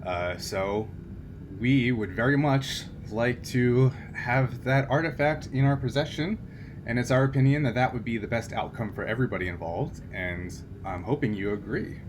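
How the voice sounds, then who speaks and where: A man playing smooth, persuasive agent Wolfgang. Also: medium pitch, moderate pace, noisy background, natural voice.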